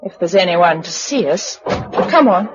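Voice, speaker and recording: An actress playing a plucky, compassionate survivor of a disaster, earnest and well-spoken, in a vintage radio play. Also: female voice, fairly low pitch, expressive, measured pace, crowd noise. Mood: brisk, curious. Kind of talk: vintage radio drama acting. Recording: muffled, noisy old radio drama recording.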